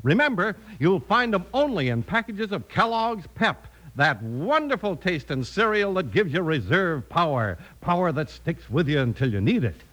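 A male American announcer in vintage 1950s radio style. His voice sits fairly high and is animated and forceful. He delivers every phrase with punchy, eager showmanship. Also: moderate pace, few pauses, low-quality recording. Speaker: announcer and narrator. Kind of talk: 1950s radio ad and story narration.

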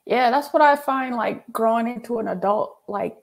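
A woman's voice: fairly high pitch, moderate pace. A woman who hosts her own video interviews as an animator, speaking casually with slang and laughing easily. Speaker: host, animator.

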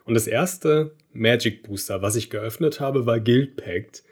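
A man in his thirties from Berlin, a podcast guest telling stories in a relaxed way, with fillers and laughs. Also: medium pitch, unhurried, breathy edge. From Berlin, Germany. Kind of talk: casual conversation.

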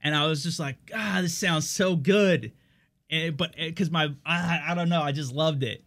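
A man's voice: fairly high pitch, normal speed, creaky, a bit bright. A young man hosting his stream, chatting casually with viewers in a rambling way full of fillers and restarts.

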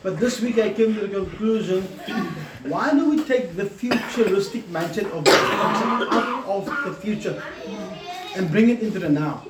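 A man's voice: high-pitched, lively intonation, moderate pace, far from the mic. Guy doing a casual sermon, repeats phrases, echoey noisy room. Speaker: church leader. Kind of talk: informal sermon.

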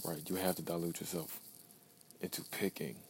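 A man's voice: deep voice, little pitch movement, normal speed, creaky, a little hiss or hum. A man in his early twenties talking to himself in a blunt, profane podcast monologue, repeating phrases for emphasis. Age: 20s. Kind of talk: self-talk pep monologue.

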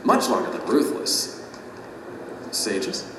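A man's voice: low voice, wildly swooping pitch, slow, background noise, full character voice. An actor playing a naive, complacent, self-justifying man in an audio drama, with an exclamatory manner. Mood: relieved, then uneasy. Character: self-deceived, complacent man awaiting judgment, awed and naive. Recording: very echoey hall, distant, loud music.

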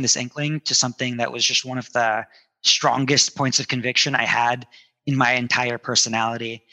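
A man's voice: mid-range voice, bright, forceful tone, poor audio. A man from New Jersey, a company founder interviewed as a guest, talking in an earnest, reflective way. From United States, New Jersey. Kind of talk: casual interview chat.

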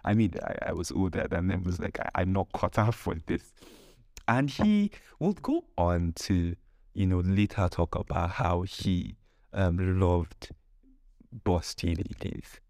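A very low-voiced man talks in a casual, humorous storytelling way. His voice has a gravelly creak and sounds close and dry. He hosts like a podcaster, slipping into acted-out bits of dialogue. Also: expressive, a little slow, darkish tone, dry recording. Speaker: podcast host.

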